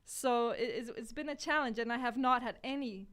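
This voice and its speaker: A woman's voice: fairly high pitch, a little fast, a bit bright, clear, resonant tone. A woman from Poland whose first language is Polish, co-hosting a radio podcast and explaining things earnestly with some hesitation. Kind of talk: casual chat.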